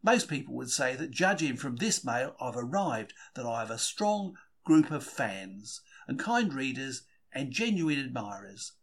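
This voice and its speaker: A man's voice: mid-range voice, big pitch swings, measured pace, few pauses. A man reading a first-person diary from a script in a conversational, confiding storytelling manner. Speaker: audiobook-style reader of a diary.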